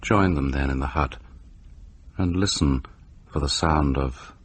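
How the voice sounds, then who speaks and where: An actor playing an ominous horror storyteller, introducing a radio tale in a sinister, portentous manner. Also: male voice, rumbling low, a little slow, breathy edge, full character voice. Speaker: announcer introducing the play.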